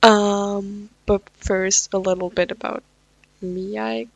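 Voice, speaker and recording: Woman, non-native english speaker, fanfic reader, casual self-deprecating, gravelly voice, dry close sound. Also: medium pitch, flat delivery, slow, no echo. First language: not English. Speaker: podfic reader. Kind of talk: reading fanfiction aloud.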